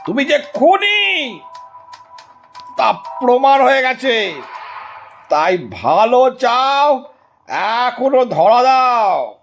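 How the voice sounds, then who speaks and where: A man playing a composed, firm private detective in an audio drama, measured and authoritative. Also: extremely high pitch, big pitch swings, fairly bright tone, voice pushed up, exaggerated voice. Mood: stern warning. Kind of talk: Bengali audio drama acting. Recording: muffled audio drama with music bed.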